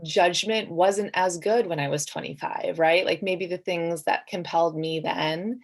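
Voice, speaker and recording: A woman's voice: lowish voice, steady tone, a little slow. A woman author talking casually and reflectively on a panel, hedging and saying "like" often as she thinks aloud.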